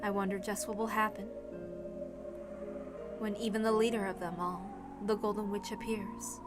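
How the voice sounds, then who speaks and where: A woman voicing a resigned, dispassionate woman in a story reading, speaking in a measured, calm way. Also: medium pitch, music in the background, natural voice.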